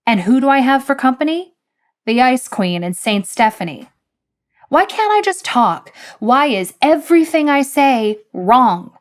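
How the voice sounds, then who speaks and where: A woman voicing a sarcastic, cocky young man who needles the others in a storybook reading. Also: on the low side.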